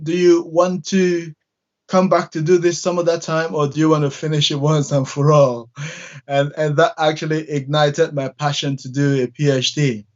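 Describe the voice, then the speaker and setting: Nigerian-born minister, measured and unhurried. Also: male voice, a little high, lo-fi sound. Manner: measured, many pauses and fillers. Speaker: church minister. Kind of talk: interview guest telling his story.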